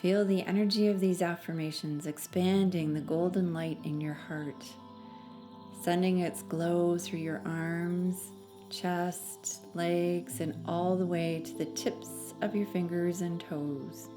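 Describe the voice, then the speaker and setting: A woman narrating a guided meditation in a soothing, measured manner with long pauses between phrases. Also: fairly low pitch, fairly even intonation, music in the background.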